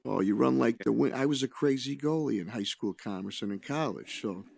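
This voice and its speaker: A man's voice: medium pitch, fairly quick, gravelly, a little mellow, no room sound, clean studio sound. A man on a school committee, chairing the session and tossing jovial, encouraging remarks to a speaker. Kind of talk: encouraging asides at a public meeting. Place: large city hall meeting room.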